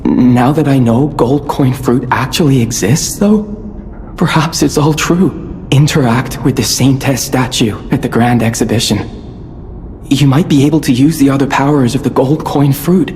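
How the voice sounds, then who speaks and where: A man playing an urbane, hesitant merchant who was once a farmer, with a stammering, halting delivery in a video game. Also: mid-range voice, darkish tone, close to an everyday voice. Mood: hesitant, earnest. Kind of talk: scripted game cutscene dialogue. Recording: long reverb, background noise.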